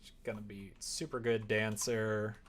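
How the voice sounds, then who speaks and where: A man chatting casually on a live stream, talking half to himself as he works, sometimes louder. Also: lowish voice, little pitch movement, measured pace, slight background noise. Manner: mutters to himself while working, with sudden louder bursts. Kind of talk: casual stream chat while working. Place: indoors at a computer desk, keyboard typing behind.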